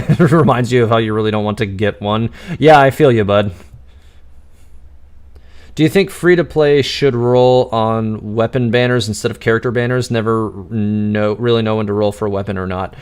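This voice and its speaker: Exuberant, crisply enunciating male streamer. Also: lowish voice, a little mellow. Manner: talks fast with frequent restarts and laughs. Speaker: streamer answering chat questions. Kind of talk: live-stream Q&A chat.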